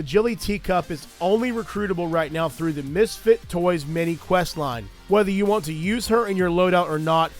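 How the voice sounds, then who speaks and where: Man, slightly higher voice, just getting over a cold, earnest and thorough gaming commentary, soft music underneath. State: recovering from a cold. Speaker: gaming video creator. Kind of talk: gameplay commentary and channel talk.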